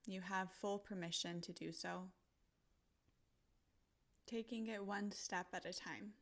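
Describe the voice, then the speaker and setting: Slow, gentle woman guiding meditation. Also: medium pitch, steady tone. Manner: gentle, soothing, deliberate pauses between short phrases. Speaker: guided visualization coach. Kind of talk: guided meditation narration.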